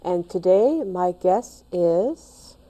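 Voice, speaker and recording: A woman hosting a local talk show, asking simple questions slowly and hesitantly. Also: lowish voice, animated, mellow. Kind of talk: interview hosting.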